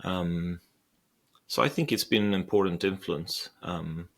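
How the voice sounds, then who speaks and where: A man's voice: deep voice, unhurried, creaky. A man in his forties from Sweden, a guest investor answering questions thoughtfully, with frequent hesitations and restarts. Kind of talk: interview.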